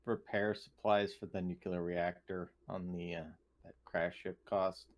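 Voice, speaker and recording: A man's voice: low-pitched, level tone, a little slow. A man chatting casually as a player in a tabletop roleplaying game.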